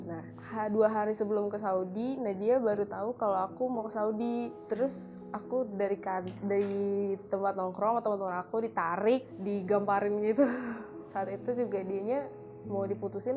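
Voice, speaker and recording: A woman's voice: mid-range voice, normal speed, darkish tone. A woman in her early twenties telling a personal story in casual, slangy speech during an interview. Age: early 20s. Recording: music playing behind. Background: background music.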